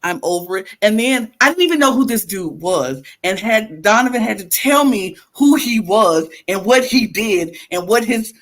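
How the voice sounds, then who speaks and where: A woman's voice: mid-range voice, animated, moderate pace, hardly any pauses, a bit bright. A woman co-hosting a wrestling podcast, ranting in an emphatic, fiery way and repeating her points. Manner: fiery, emphatic, repetitive outbursts. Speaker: podcast co-host. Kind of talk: heated casual rant.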